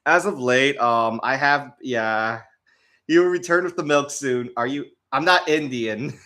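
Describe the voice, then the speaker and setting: A man's voice: medium pitch, measured pace, bright, forceful tone. A young man chatting casually on a livestream, rambling with lots of restarts and frequent laughs. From United States. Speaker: streamer.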